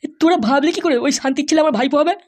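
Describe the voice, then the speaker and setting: A man's voice: very high voice, steady tone, very fast, darkish tone. A man voicing a vengeful, boastful gangster politician who swears through a comedy cartoon. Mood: angry. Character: vengeful foul-mouthed male gangster politician, the big boss.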